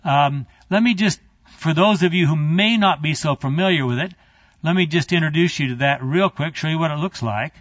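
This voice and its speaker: A man's voice: a little high, normal speed, a bit bright, no echo. A man teaching a Bible class in a conversational lecture style, wandering into asides and speaking directly to his students. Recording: dry, slightly muffled recording.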